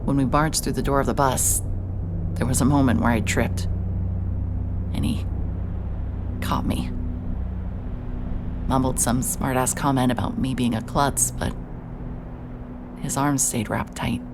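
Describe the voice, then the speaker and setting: A woman's voice: a bass voice, fairly even intonation, a little slow, long pauses, noisy background. An actress narrating in first person as a sarcastic, guarded woman investigator who swears when she is upset. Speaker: narrator and lead character.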